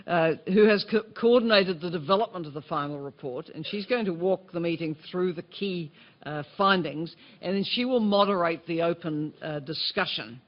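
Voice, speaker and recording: A man chairing a meeting, handing over to the next speaker in a relaxed, informal way with many fillers. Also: high-pitched, normal speed, dull audio. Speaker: meeting chair from the organizing agency.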